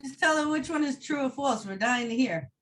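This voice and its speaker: A woman's voice: sits high, expressive, brisk, a bit bright. A woman moderating a casual group video call, friendly and encouraging toward each speaker.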